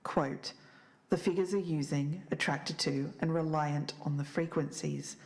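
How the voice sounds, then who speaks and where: A woman playing an earnest, faintly bitter podcast investigator, speaking in a measured, solemn way as if reading evidence aloud. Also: low voice, fairly even intonation, a little mellow. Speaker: podcast co-host. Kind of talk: scripted fiction-podcast narration.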